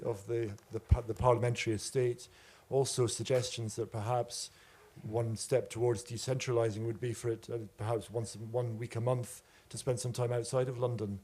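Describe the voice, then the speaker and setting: A man in the audience asks a courteous question in an even, almost monotone delivery. His tone is dark and mellow, and he chooses his words with care. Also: mid-range voice, slight background noise. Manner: polite, measured. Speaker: audience member, business school graduate.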